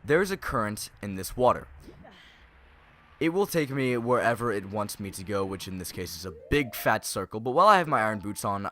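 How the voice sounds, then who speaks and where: Low-voiced teenage boy doing game commentary. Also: bright, forceful tone. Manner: rambling, jokey. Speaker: gaming YouTuber, host of a playthrough series. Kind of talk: casual video game playthrough commentary. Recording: game music behind the voice. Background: video game music.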